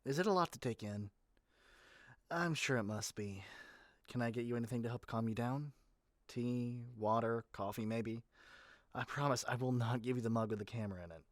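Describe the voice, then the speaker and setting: A performer plays an overeager salesperson in a scripted ASMR roleplay, talking straight to the listener. The androgynous voice sits at a middling pitch. It rambles breezily through menacing details as if they were nothing, in a dry recording with no room sound. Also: moderate pace, pauses often, no room sound, lightly acted. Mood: eager, solicitous. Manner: rambling, breezily matter-of-fact about menace. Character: eager, chatty salesperson matchmaking dangerous obsessive lovers.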